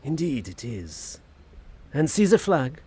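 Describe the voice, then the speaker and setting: A man's voice: medium pitch, big pitch swings, slow pace, mellow, slightly rough, heavily acted. An actor playing a genteel, poetic Frenchman of the 1880s in an audio drama, speaking in a florid, theatrical manner. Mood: wistful delight. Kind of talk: audio drama acting, diary narration and dialogue. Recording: music behind the voice.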